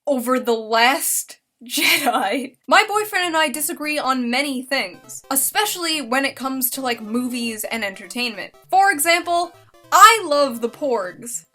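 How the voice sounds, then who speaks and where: Female commentary host, high and bright, mocking, casual, animated delivery. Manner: mocking, expressive, swears freely. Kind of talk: casual, sarcastic reaction commentary.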